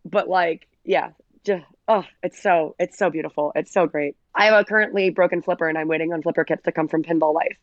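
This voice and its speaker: A raspy-voiced woman, a podcast guest chatting casually, slightly hoarse. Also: lowish voice, fairly even intonation, a little fast, dry recording, muffled recording. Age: 30s. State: recovering from covid, hoarse. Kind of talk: casual chat.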